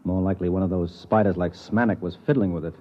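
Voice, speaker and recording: An actor in a 1950s radio drama playing a brusque, arrogant space explorer, hard-boiled and edgy. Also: male voice, sits low, fairly even intonation, quick pace. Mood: grumbling, cocky. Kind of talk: 1950s radio drama acting with first-person narration. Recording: narrow, tinny, noisy 1950s radio recording.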